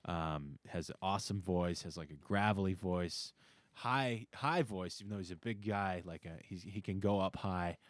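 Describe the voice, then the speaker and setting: A man chatting casually as a musician guest on a podcast, with a hesitant, halting delivery. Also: sits low, no echo. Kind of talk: casual chat.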